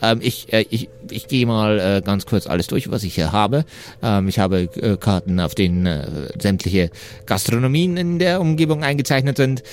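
A man's voice: on the low side, moderate pace, slight background noise, lightly acted. A man playing a slight, fussy, eager map seller in an improvised fantasy audio play, stammering and repeating himself politely. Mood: polite, flustered. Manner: stammering, repetitive, muttering, polite. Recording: music under the voice.

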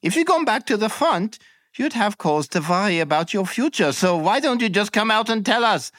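A man's voice: sits high, big pitch swings, moderate pace, fairly bright tone, no room sound, clean studio sound, pitched above the performer's own voice. A man voicing a smug military interrogating officer who coaxes and threatens a prisoner. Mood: wheedling. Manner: coaxing then threatening. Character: smug wheedling military examining officer, menacing.

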